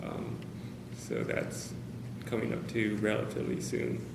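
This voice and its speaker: A man speaking candidly to a meeting of caregivers, hesitant, with many pauses and fillers. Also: on the low side, huge pitch swings, creaky. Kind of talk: personal talk to a small group. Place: meeting room with a group of about 45 people. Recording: roomy, noisy meeting-room recording.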